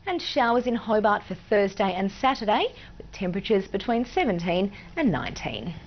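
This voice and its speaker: A woman's voice: medium pitch, sing-song, moderate pace, highs cut off. An Australian woman presenting news and weather in a scripted bulletin style with some light banter.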